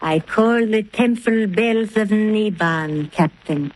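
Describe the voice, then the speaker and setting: A woman's voice: medium pitch, a little mellow, no room sound, rough recording. An actress playing a cunning, villainous woman snake charmer in a 1930s radio drama. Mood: menacing. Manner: slow, menacing, theatrical.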